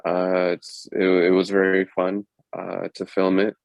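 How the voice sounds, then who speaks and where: A man who works as an actor, chatting casually as a podcast guest in an informal, halting way. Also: low-pitched, monotone, vocal fry, dry recording. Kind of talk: casual chat.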